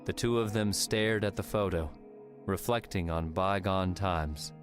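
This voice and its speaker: A man's voice: sits low, steady tone, measured pace. A man reading scripted story narration as an audiobook narrator. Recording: music under the voice.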